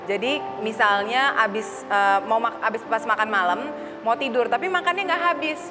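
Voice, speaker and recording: A woman's voice: on the high side, moderate pace. A woman hosting a talk show, casually interviewing guests and summing up their points. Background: music.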